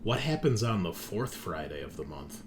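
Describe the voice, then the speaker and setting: A man's voice: on the low side, sing-song, moderate pace. A man chatting easily and casually as he hosts and introduces a tabletop game show. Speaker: podcast host and game master. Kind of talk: casual podcast intro chat. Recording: noticeable background noise.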